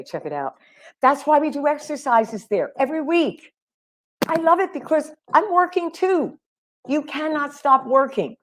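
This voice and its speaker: A middle-aged woman coaching singers in an animated, emphatic live chat. Her voice sits fairly high and she keeps calling her listeners honey. The talk is loose and encouraging. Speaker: vocal coach.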